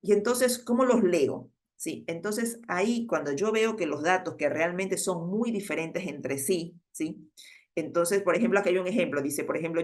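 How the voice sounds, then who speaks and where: Woman, lowish voice, patient lecturer, hesitant fillers. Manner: explains patiently, asks "¿sí?" often, many "eh" fillers. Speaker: instructor. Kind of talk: online class lecture.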